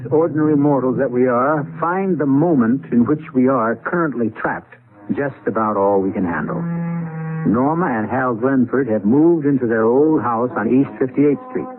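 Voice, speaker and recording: A man hosting and narrating a 1970s radio mystery drama in a measured, theatrical storytelling manner. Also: mid-range voice, soft background music. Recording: narrow, tinny vintage radio recording.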